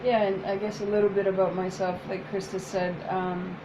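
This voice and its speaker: A woman whose first language is French, speaking as a psychotherapist in a soft, hesitant casual chat with many pauses. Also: mid-range voice, flat delivery, noisy background. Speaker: psychotherapist, co-host.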